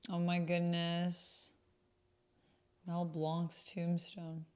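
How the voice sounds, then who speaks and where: A woman's voice: on the low side, monotone, drawn-out words, a little mellow, clean tone. A woman giving casual commentary as she types and reads chat aloud. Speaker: host of a solo roleplaying video. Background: computer keyboard typing.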